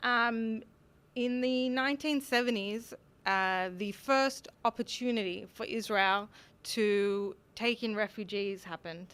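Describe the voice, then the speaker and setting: An Australian woman gives a conference talk, laying out her points in a steady, explanatory manner. Her voice is bright and crisp, and it sounds smooth throughout. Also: fairly high pitch, slow pace. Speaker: conference speaker.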